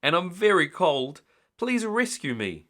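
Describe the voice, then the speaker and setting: A man's voice: high-pitched, very animated, a little slow, pushed and bright, pitched above the performer's own voice, exaggerated voice. A man voicing a stubborn, blustery older male lighthouse keeper in a storybook, exclaiming and grumbling. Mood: panicked.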